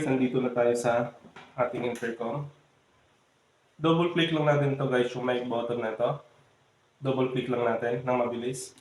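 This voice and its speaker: A man with a Filipino accent giving a casual step-by-step product tutorial, often addressing his viewers. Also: mid-range voice. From Philippines. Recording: very echoey room, faint background noise.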